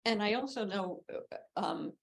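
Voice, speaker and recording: A woman's voice: mid-range voice, unhurried, gravelly. A middle-aged woman talking conversationally on a panel as a parent fundraiser, repeating phrases for emphasis.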